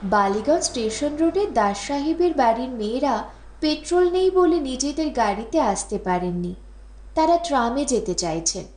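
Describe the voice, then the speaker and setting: A woman's voice: high voice, expressive, moderate pace, darkish tone. A woman voicing a deferential male office clerk relaying messages in an audiobook. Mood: polite reporting. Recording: roomy, echoing voice recording.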